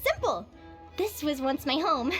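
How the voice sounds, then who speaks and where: A woman playing a cheerful young adventuring advisor in a game, teaching and quizzing playfully in an animated way. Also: high-pitched, unhurried, faint music behind. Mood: cheerful, proud. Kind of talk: animated game voice acting, lecture.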